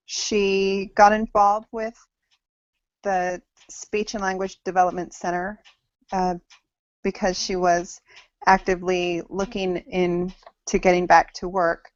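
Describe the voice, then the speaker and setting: A woman in her thirties from Samoa and Hawaii gives a class presentation over a video call, speaking very slowly and stopping often mid-sentence. Her voice sits a little low, and the sound is dull and lo-fi. It is dry, with no room echo. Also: little pitch movement. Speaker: student presenting to an online class.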